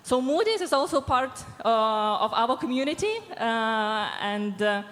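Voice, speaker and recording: A woman, answering panel questions through a handheld mic, measured and pausing with many fillers. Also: a little high, lively intonation, fairly bright tone. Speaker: panelist from a diversity organization. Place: large event hall stage. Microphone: handheld microphone. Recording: reverberant hall sound.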